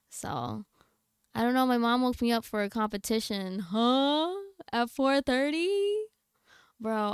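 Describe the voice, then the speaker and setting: A woman's voice: medium pitch, smooth, no echo, clean studio sound. A young adult woman livestreamer chatting casually with her viewers in a slangy, blunt, candid way. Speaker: game livestreamer.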